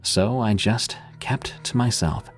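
A man narrating true scary stories in a slow, deliberate, suspenseful scripted reading. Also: fairly low pitch, whispered, soft background music. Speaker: horror story narrator.

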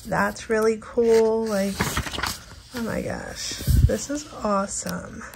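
A young woman hosting a casual, soft-spoken flip-through at home, talking gently and relaxed with lots of "like". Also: fairly high pitch, slow, gravelly, heavy background noise. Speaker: channel host. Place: indoors at home, over a bed.

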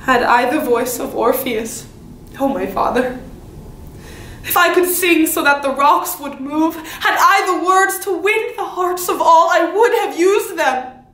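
Woman acting a pleading, high-voiced tragic princess. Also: unhurried, fairly bright tone. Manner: earnest, beseeching, theatrical delivery. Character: pleading young princess begging her father for life. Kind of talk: classical Greek tragedy monologue. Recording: roomy, faintly noisy audition recording.

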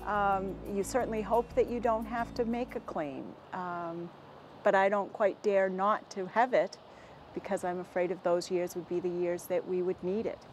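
An American woman in her forties, a dairy farmer answering interview questions in a plainspoken, halting and measured way. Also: mid-range voice, little pitch movement, faint music behind, rough recording. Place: inside a barn with hay bales. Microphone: lapel mic.

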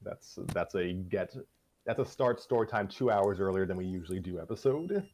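A man in his twenties chatting casually as a show host giving an update, halting and wry, often restarting his sentences. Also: sits low, animated, a little mellow, a little hiss or hum. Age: 20s. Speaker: host of a story-reading voice show. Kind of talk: casual chat, project update.

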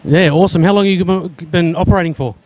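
A friendly Australian man in his thirties, chatting with exhibitors and calling them mate. His voice sits a bit high, held on a handheld mic in an expo hall with faint room noise. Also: quick pace, darkish tone. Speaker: interviewer. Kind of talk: casual trade show interview. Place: indoor expo hall, busy.

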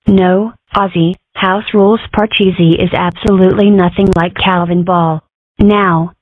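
Brisk woman, thin and tinny. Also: medium pitch, dry recording. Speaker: comic dub voice actor. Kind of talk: reading comic strip dialogue aloud.

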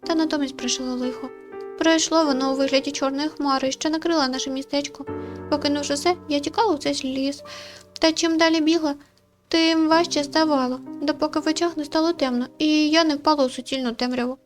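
A woman voicing a gentle, sorrowful female forest spirit in a visual novel, with lofty, old-fashioned, tender phrasing. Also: high-pitched, fairly even intonation, a little fast, soft background music, pitched above the performer's own voice, natural voice. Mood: wistful, sorrowful.

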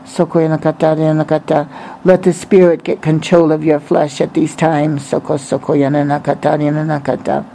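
A man's voice: fairly high pitch, flat delivery, fairly quick, mellow, low-quality recording. A man preaching a religious prophecy, breaking short phrases up with repetitive, incantatory chanting in tongues, over some background noise.

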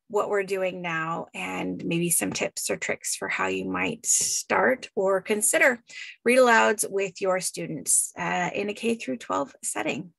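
A woman's voice: medium pitch, creaky, dry recording. A middle-aged woman giving an informal online presentation as a teacher librarian, recorded indoors on a computer.

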